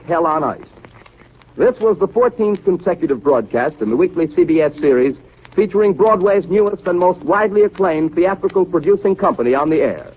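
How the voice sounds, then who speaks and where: A man with an American accent making formal, scripted network announcements in the style of 1930s radio. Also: high voice. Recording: tinny, hazy 1930s radio broadcast recording.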